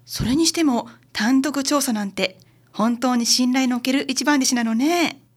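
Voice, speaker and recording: A woman's voice: high-pitched, moderate pace. An actress playing a polite, ladylike, doting mother of a grown son in a radio drama. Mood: gracious.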